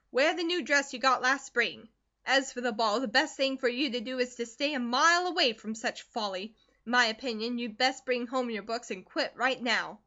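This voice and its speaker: A woman reading aloud and voicing a harsh, scolding middle-aged farm mother who speaks sharply and loudly, in a dull lo-fi recording. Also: a little high.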